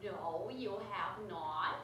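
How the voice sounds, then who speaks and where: A woman's voice: lowish voice, extremely slow. A woman playing a dutiful, chatty elevator operator who announces and explains floors in a radio drama. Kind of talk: 1940s-set radio drama acting on stage. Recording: distant, very echoey, noisy theater stage.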